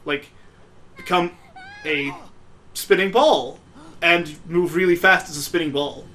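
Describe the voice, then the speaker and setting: A man's voice: fairly high pitch, unhurried, pushed and bright. A man giving casual, joking commentary as he plays a video game, with a sarcastic, mocking way of talking. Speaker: let's play host playing the game.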